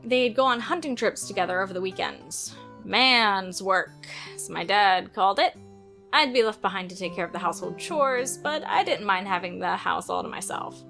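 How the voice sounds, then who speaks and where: Bright-voiced sardonic teenage girl acted by a woman. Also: mid-range voice, normal speed, gravelly. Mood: bitter, reflective. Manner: sarcastic, expressive. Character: teenage girl archer, sardonic, defiant younger sister. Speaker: narrator and lead character. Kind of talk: first-person audio drama narration and dialogue. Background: music.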